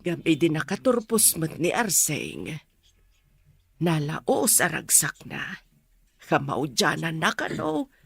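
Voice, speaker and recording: A woman playing a sober, middle-aged aunt in a radio drama, speaking earnestly with a northern Philippine accent in a measured, consoling manner. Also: low-pitched, huge pitch swings, gravelly, slightly rough, heavily acted. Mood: gentle, consoling. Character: sober, consoling older aunt, gently explaining.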